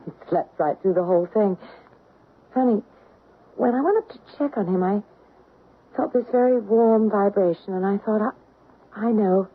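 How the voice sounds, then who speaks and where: A woman's voice: mid-range voice, moderate pace, long pauses, lightly acted. An actress playing an earnest, emotional housewife and mother who believes in ghosts, in an old radio drama. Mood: wondering. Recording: muffled phone-like old radio recording.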